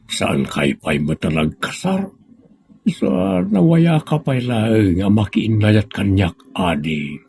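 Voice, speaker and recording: A man playing a boastful, wealthy older suitor with a gravelly voice and a wheedling manner in an audio drama. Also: fairly low pitch, sing-song, slow, darkish tone, full character voice. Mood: wooing, boastful. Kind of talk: sentimental audio drama acting.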